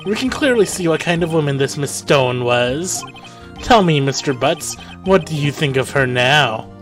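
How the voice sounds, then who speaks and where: A man's voice: a little high, animated, moderate pace, a bit bright. A man voicing a smug, formal prosecutor in a courtroom game, speaking pompously. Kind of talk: voiced visual novel read-through on a game stream. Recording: faint music behind the voice.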